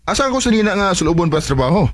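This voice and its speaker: A man's voice: sits high, sing-song, rapid-fire. A man playing a nagging but fond young husband in a radio drama, hesitant and exasperated, with a Filipino Bisaya accent. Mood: irritated.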